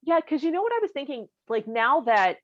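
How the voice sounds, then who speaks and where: A woman's voice: high-pitched, very animated, brisk, dry recording, highs cut off. A woman co-hosting a podcast, sharing personal stories in a casual, candid, conversational way. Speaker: podcast co-host. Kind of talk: casual chat, personal anecdotes.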